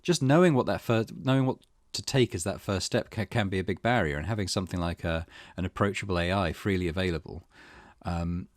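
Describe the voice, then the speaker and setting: A man's voice: low-pitched, brisk, dry recording, clean studio sound. A man hosting a let's play, reading game text aloud and adding his own commentary.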